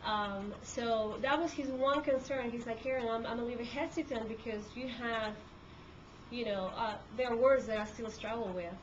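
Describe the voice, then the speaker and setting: A woman's voice: on the high side, normal speed. Peruvian-accented woman, lo-fi camcorder sound. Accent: Peruvian Spanish. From Peru. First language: Spanish. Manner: conversational, many fillers and restarts. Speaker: court reporter. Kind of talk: talk to a group. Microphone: handheld camcorder. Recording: dull lo-fi camcorder audio with room noise.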